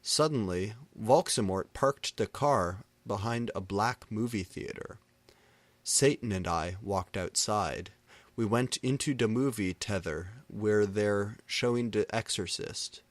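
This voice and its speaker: A man reads fan fiction aloud as a deadpan narrator. His voice is fairly low and his pace very slow, in a dry recording. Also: no room sound. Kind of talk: dramatic reading of comic fan fiction.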